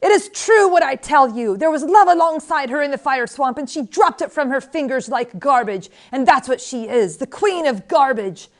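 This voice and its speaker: A woman voicing an ancient, withered old woman who yells defiant, scornful accusations in a storybook reading. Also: high voice, animated, pitched above the performer's own voice. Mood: furious, scornful. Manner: yelling, emphatic, drawn-out denunciation.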